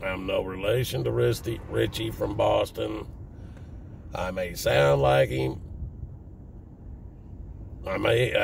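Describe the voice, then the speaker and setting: A man in his fifties with a Southern US accent and a deep, raspy smoker's voice, talking casually and plainly to viewers. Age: 50s. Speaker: vlogger. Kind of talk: casual personal talk to viewers. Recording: distant mic with faint background noise.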